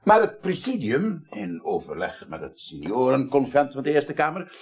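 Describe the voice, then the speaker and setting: A fatherly parliament chairman in an eighties radio play. His husky voice swings expressively as he persuades in a courtly, formal way. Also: mid-range voice, wildly swooping pitch, normal speed. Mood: paternal, persuasive. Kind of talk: 1980s Dutch radio drama acting.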